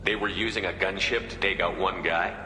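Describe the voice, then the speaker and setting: Fast, low-voiced tough soldier. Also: male voice, fairly even intonation, sharp tone, a little hiss or hum. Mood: businesslike. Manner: terse, confident. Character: cool, tough male space soldier posing as mercenary. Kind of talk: video game cutscene acting.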